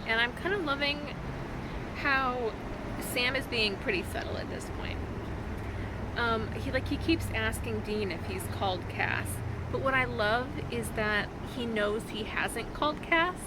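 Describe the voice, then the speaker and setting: A woman talking casually in a reaction vlog, with a halting, expressive fangirl delivery and dramatic pauses. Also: on the high side, heavy background noise. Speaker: vlogger sharing reactions to fan fiction.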